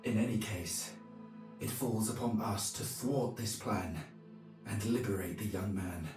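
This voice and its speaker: An actor playing a pious medieval monk narrating his journal for a video game in a formal, measured way. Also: on the low side, darkish tone, far from the mic, close to an everyday voice. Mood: solemn, reflective. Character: male medieval monk chronicler, pious, solemn. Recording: very echoey, music behind.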